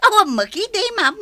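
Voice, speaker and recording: A woman's voice: extremely high pitch, bright, forceful tone, no room sound, heavily acted. A woman playing a flustered, giggly housemaid with a teasing manner in a radio drama. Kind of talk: Philippine radio drama acting.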